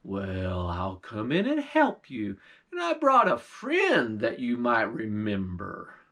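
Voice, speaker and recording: A kind, clever young hero speaks gently and earnestly, as a man voices him. The voice is slightly low and gravelly, with unhurried phrasing. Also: huge pitch swings, heavily acted. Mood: helpful.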